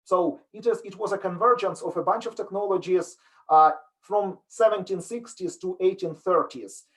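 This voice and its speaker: A middle-aged man with a Ukrainian accent lectures on business in an earnest, explanatory way. His voice sits fairly high for a man. He often restarts his phrases as he works through a point. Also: moderate pace. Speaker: business professor giving a talk. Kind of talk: online lecture presentation.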